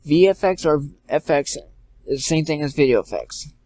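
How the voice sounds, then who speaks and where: A man giving an informal step-by-step software tutorial in a halting way, repeating and correcting himself as he goes. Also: on the high side, lively intonation, no echo. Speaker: tutorial presenter. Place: indoors, screen-recording voice-over.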